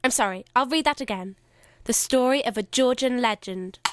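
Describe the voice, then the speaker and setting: Young woman as high-voiced radio announcer. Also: normal speed, clear, resonant tone, dry recording, clean studio sound, lightly acted. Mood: formal. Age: young adult. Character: formal announcer of a radio history show. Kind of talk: formal scripted announcement.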